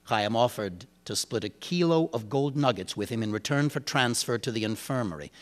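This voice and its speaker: Male reader for literary fiction: mid-range pitch, measured delivery, deliberate pauses, dramatic first-person narration. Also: lively intonation. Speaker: reader of a short story.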